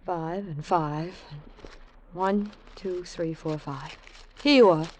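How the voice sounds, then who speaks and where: A woman's voice: on the low side, slow pace, a little hiss or hum, poor audio. An actress playing a composed, businesslike married woman in old radio style, polite and direct. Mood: urgent. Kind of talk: 1940s-50s radio acting.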